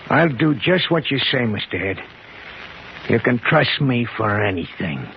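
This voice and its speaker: Simple, lilting, devoted crook's sidekick. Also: male voice, mid-range voice, very animated, a little slow, creaky. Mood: eager, obedient. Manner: childlike, deferential, earnest. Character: simple-minded, devoted, timid male gangster's sidekick. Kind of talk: 1950s radio drama acting. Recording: dull, noisy vintage 1950s radio recording.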